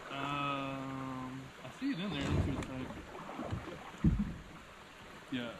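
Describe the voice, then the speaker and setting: A man's voice: medium pitch, takes it slowly. A man fishing with a friend on a creek, chatting casually in short remarks. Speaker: fishing buddy. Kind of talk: casual chat. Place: outdoors on a creek. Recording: outdoor recording with loud background noise.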